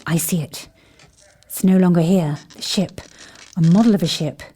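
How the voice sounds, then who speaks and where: A woman playing a poised, otherworldly investigator in an audio drama, measured, formal and grave. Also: on the low side, dark tone, a little hiss or hum, lightly acted. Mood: calm, assured.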